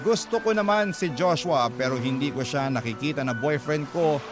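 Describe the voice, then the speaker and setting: A man's voice: fairly high pitch, normal speed. A man with a Filipino accent reading a listener's letter as a scripted radio storytelling narration. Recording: loud music behind. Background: loud background music.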